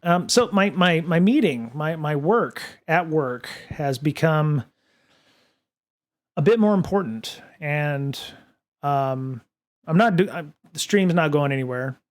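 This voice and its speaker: A man's voice: a little high, expressive, slow. A man hosting a casual livestream from his home studio, rambling with lots of fillers and restarts while answering viewer comments. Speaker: podcast and livestream host. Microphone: studio microphone at desk.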